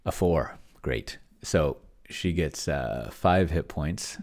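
A middle-aged man thinking aloud in casual screen-share commentary on a solo tabletop game, halting and muttering to himself as he works. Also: rumbling low, animated, a little mellow. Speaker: solo tabletop roleplayer testing an AI game master. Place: indoors at a desk in a home room.

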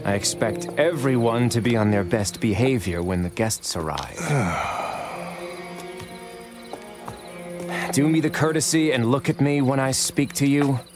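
A man plays a haughty, wealthy boss from a Western game. He speaks slowly and condescendingly at a middling pitch, with music behind him. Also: lightly acted. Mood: imperious, irritated. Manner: pompous, condescending. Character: haughty, bossy rich male Western villain. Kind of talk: video game cutscene acting.

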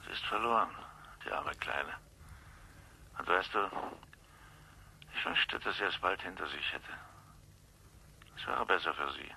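An actor playing a practical, blunt older uncle with a resigned manner in a radio drama. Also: male voice, low-pitched, unhurried, gravelly, pauses often, sharp tone, slight background noise, exaggerated voice, low-quality recording. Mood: grimly resigned.

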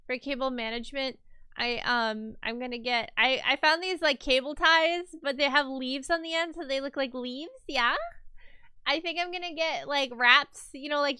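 A woman's voice: high voice, pushed and bright, clean tone, no room sound. A woman livestreamer chatting casually with her viewers, a little stuffy from a cold, rambling with fillers and restarts.

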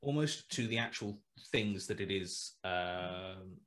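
Man, thirties, lowish voice, headset mic, video call, rambling, hesitant restarts. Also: slow pace, a bit bright. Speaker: guest writer. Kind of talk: casual video call interview. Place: small room at home.

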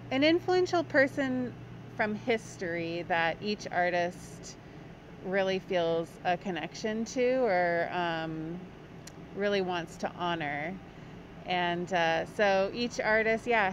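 Low-voiced woman from Hawaii, street noise. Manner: thoughtful, with frequent ums. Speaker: muralist being interviewed. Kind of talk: casual on-street interview. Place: outdoors by a brick wall on a city street. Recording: dull, noisy street audio.

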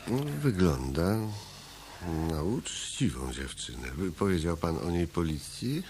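A man's voice: low-pitched, very animated, measured pace, a little mellow, a little hiss or hum. An actor playing a polished, menacing middle-aged man who talks politely and coolly in a radio drama. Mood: cool menace. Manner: polite, then coolly threatening.

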